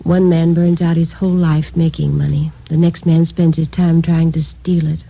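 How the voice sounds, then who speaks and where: An actress in a 1950s radio drama playing a dignified, wealthy widow in subdued grief, hesitant and formal. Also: female voice, sits low, little pitch movement, moderate pace, creaky, breathy edge, exaggerated voice. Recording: very muffled vintage radio recording.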